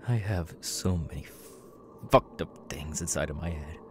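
A man playing a young, unhinged masked serial killer in an ASMR audio roleplay, creaky and halting, muttering with erratic, twitchy menace. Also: extremely low pitch, background noise. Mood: confiding, troubled. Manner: halting, muttering, sudden tics and hoots.

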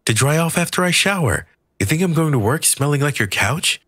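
A man's voice: on the high side, animated, fairly quick, fairly bright tone, no echo, studio quality, voice pushed up. A man voicing a brash, outspoken young woman in an audiobook, with sassy, emphatic delivery. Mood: sassy, pushy. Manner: sassy, emphatic questions and retorts.

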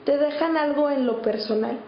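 A woman's voice: high voice, moderate pace. A woman with a Mexican accent presenting and asking questions as an interviewer. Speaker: interviewer and reporter. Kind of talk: interview questions and scripted introductions. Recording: echoey, muffled, faint noise.

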